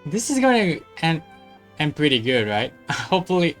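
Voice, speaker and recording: A woman's voice: deep voice, very animated, normal speed, soft background music. A woman giving casual live commentary as a game streamer.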